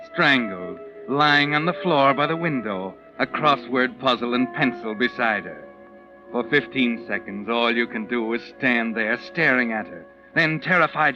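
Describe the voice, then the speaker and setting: An actor playing an ominous, all-knowing mystery narrator in 1940s radio suspense style. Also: male voice, mid-range voice, a little slow, lightly acted. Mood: grim, ominous. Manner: ominous, addressing the character as "you". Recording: dull old 1940s radio recording, organ music. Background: dramatic music.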